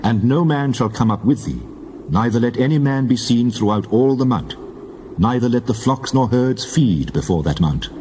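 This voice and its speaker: A man's voice: mid-range voice, expressive, moderate pace, warm tone, slight background noise, close to an everyday voice. A man playing God in a dramatized scripture reading, speaking with solemn, declarative authority. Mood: solemn, assuring. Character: God, solemn commanding male divine voice.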